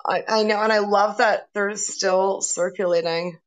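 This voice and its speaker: A woman in her late twenties hosting a loose live chat, needling her co-host with dry sarcasm and easy swearing. Her pitch sits in an ordinary middle range. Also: steady tone, measured pace. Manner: teasing, sarcastic, swears freely. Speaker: podcast host. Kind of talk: casual chat.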